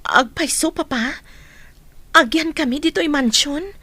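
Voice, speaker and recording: A woman's voice: high-pitched, measured pace, bright, forceful tone. A woman playing a devoted, remorseful grown daughter and mother in an Ilocano radio drama, speaking earnestly and emotionally with an Ilocano accent. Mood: gentle, caring.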